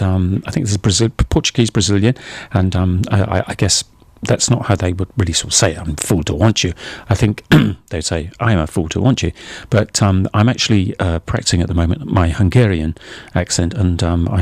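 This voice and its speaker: A man's voice: low-pitched, a little mellow, no room sound. A man hosting a jazz radio show in a studio, talking casually and rambling into humorous asides between tracks.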